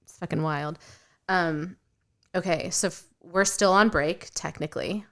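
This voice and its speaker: A woman's voice: fairly low pitch, unhurried, fairly bright tone. A woman in her late thirties co-hosting a podcast, chatting casually, swearing freely and retelling stories with animation. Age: late 30s. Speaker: podcast co-host. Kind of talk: casual chat.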